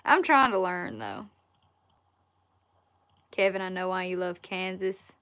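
A woman in her twenties with a Southern Alabama accent, chatting casually on a livestream and answering viewer questions in a relaxed, folksy way from a wood-paneled room. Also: fairly low pitch, takes it slowly. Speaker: outdoors YouTuber answering live chat. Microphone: laptop or phone camera at arm's length.

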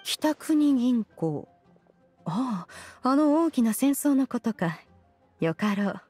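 A woman's voice: on the high side, big pitch swings, slow pace, darkish tone, slightly rough, faint music behind, full character voice. A woman voicing an ancient, aloof female immortal in an anime game, with a formal, stately, archaic way of speaking. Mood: musing. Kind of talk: Japanese anime game voice acting.

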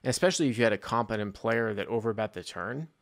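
A man in his thirties hosting a poker call-in show, talking analytically and informally into a close studio mic, thinking out loud. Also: fairly low pitch, lively intonation, fast, gravelly. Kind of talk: casual analytical poker discussion. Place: small indoor studio. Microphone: close desktop studio microphone, headset on.

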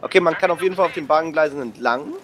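A man's voice: fairly high pitch, fast talker, fairly bright tone, a little hiss or hum. A young man casually commentating a game with a friend, using slang and English exclamations. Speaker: gaming video commentator. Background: shooter game gunfire and ambience.

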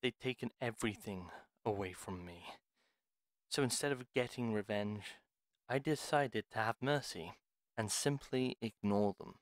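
A man's voice: lowish voice, a little slow, no room sound, natural voice. A man playing a bitter, curt pharmacist healer in a fantasy audio roleplay, speaking in stern, formal phrases.

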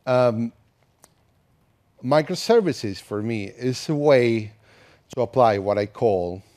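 A man in his thirties from Argentina, with an Argentine Spanish accent, giving a conversational conference talk with frequent restarts. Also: mid-range voice. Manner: relaxed, conversational, with many restarts. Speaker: conference speaker. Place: conference hall, indoors.

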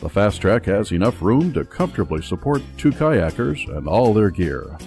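A man reading a scripted product ad voice-over in a smooth, salesy way. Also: on the low side, very animated, normal speed, a little mellow, soft background music. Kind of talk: scripted ad narration.

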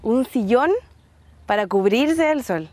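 Una mujer joven de Chile con acento chileno, que presenta un reportaje de viaje al aire libre y le habla con entusiasmo a la cámara. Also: female voice, a little high, lively intonation, slow, a little mellow, dry recording. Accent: Chilean Spanish. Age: young adult. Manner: chatty, enthusiastic, addresses viewers directly. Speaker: exchange student presenting a travel segment. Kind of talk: casual travel presenting to camera. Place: outdoors in a seaside resort town and on a beach.

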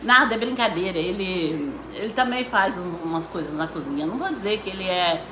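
A woman in her fifties hosting a casual home cooking live stream, chatty and quick to laugh. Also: fairly low pitch, animated, reverberant, noisy background. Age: 50s. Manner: chatty, laughs a lot, frequent "né, ó". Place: home kitchen, indoors. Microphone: phone camera.

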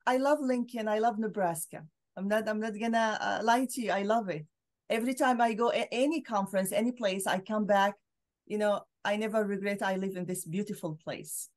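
A woman's voice: mid-range voice, fairly quick. Syrian-born woman on a video call, middle-aged, earnest and explaining, lots of uhs. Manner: earnest, explanatory, frequent fillers. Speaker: guest scholar. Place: home room with bookshelves.

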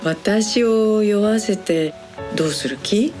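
Gentle, coy woman for radio drama, actress performing: mid-pitched, expressive, faint music behind. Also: slow, close to an everyday voice. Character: gentle, demure adult woman, quietly coquettish.

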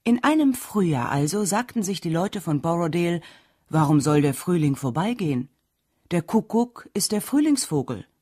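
Low, husky woman storyteller. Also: big pitch swings, normal speed, mellow, no echo, clean studio sound. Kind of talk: scripted folk tale narration.

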